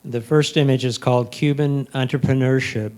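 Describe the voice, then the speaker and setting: A man reading scripted, descriptive photo captions aloud, deliberately, phrase by phrase. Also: mid-range voice, steady tone, a little mellow, clean tone. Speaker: reader of photo captions at a photo club presentation.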